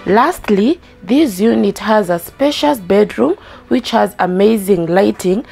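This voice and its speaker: A woman from Kenya narrates an apartment tour as a voice-over, speaking at a middling pitch. She chats easily with her viewers about each room. Clear background music plays under her voice. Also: unhurried, darkish tone. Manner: friendly, chatty commentary addressing viewers. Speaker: house tour host. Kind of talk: scripted house tour voice-over.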